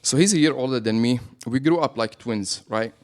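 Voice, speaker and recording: A man's voice: medium pitch, brisk, a little mellow. A man with a Lebanese accent, a business owner talking as a guest in a radio interview, who often checks in with "right?". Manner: explains with frequent "right?" tags.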